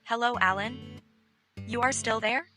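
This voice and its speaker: A woman's voice: fairly high pitch, a little slow. A woman playing a secretive teenage girl on a phone call in a scripted audio novel, with music behind her. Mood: friendly, evasive. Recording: loud music under the voice.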